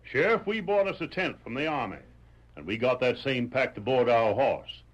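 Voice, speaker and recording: A man plays a folksy, wheedling ex-soldier with a Southern country drawl. His mid-pitched voice swings up and down as he boasts and bargains. It is comic old-time radio western acting. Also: animated, lo-fi sound, lightly acted. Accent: American Southern country. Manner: folksy, swaggering fast talker.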